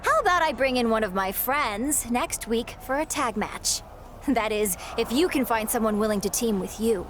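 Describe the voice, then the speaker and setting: High-voiced, eager wrestling game heroine. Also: female voice, expressive, moderate pace, fairly bright tone, a little hiss or hum. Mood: defiant, boastful. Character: eager, boastful young female fan-turned-wrestler, chipper and defiant. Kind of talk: video game cutscene acting.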